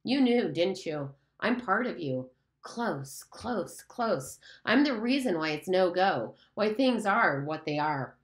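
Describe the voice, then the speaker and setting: A woman's voice: lowish voice, lively intonation, slow. A woman reading aloud and voicing a mocking, menacing pig's head that speaks as a beast, in a room with a noticeable echo. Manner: taunting, condescending, menacing. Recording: roomy echo.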